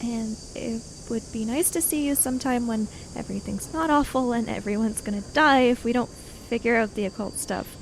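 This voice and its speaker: A woman playing a tabletop role-playing game, improvising narration and in-character speech in a halting, tentative way. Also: fairly high pitch, vocal fry. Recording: noisy track with cricket ambience.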